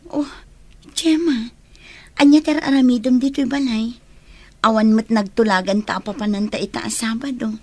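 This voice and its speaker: An actress playing a gentle young woman troubled by guilt, soft-hearted in a radio drama. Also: a little high, fairly even intonation, normal speed, pauses often, dry recording. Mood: friendly.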